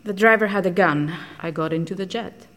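Blunt, low-voiced actress as a woman scientist. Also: brisk, a bit bright, natural voice. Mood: dry, frank. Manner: blunt, matter-of-fact. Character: frank, socially outcast woman scientist who detects lies. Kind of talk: audio drama acting.

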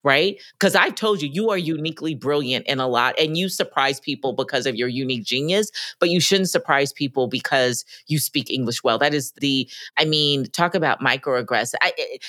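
A woman co-hosting a podcast, talking in an emphatic, lively way about workplace experiences. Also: extremely low pitch, normal speed, few pauses, dry recording, clean studio sound. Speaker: podcast co-host. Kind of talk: conversational podcast discussion.

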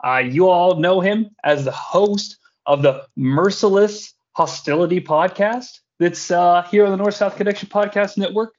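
Higher-voiced male podcast host, muffled audio. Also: moderate pace, hardly any pauses. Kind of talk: casual comedic chat.

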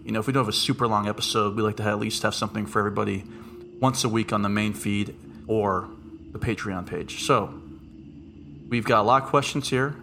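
A man with a deep voice hosting a casual podcast chat, joking and rambling off into asides. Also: fairly quick, creaky, a bit bright, slight background noise. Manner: jokey, rambling, with frequent asides. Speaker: podcast host.

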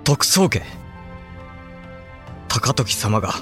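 A man's voice: medium pitch, very animated, very slow, fairly bright tone, soft background music, full character voice. A man acting an earnest young samurai heir in a period voice drama, urgent, formal and emphatic. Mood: pleading.

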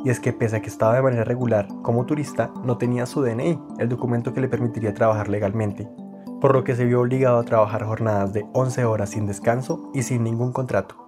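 A man hosting a travel podcast, reading scripted narration in a clear, steady way. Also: medium pitch, fast talker, music in the background. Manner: clear, steady, read-aloud delivery.

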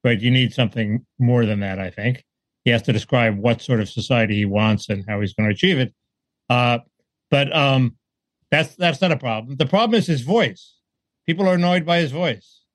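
A man's voice: medium pitch, moderate pace. A man with a mid-range voice talking politics in a wry, joking way and laughing between his points. The room is dry and close, with no echo around him. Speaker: podcast co-host. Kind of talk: casual political chat.

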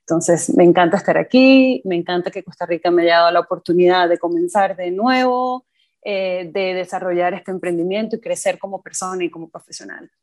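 A woman in her thirties from Venezuela with a Venezuelan accent, a podcast guest talking warmly and enthusiastically, with frequent hesitations. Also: on the low side, brisk. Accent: Venezuelan Spanish. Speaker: podcast guest, ice cream entrepreneur. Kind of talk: casual interview chat.

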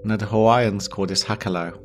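Deep voiced guy doing a guided meditation, slow n gentle w lots of pauses, soft music behind. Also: fairly even intonation. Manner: slow, pausing, gentle instruction. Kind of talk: guided yoga nidra meditation.

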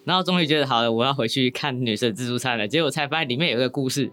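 A woman's voice: extremely low pitch, racing, fairly bright tone, soft background music. A woman with a Taiwanese Mandarin accent chatting casually and candidly as a guest novelist, rambling as she explains her writing.

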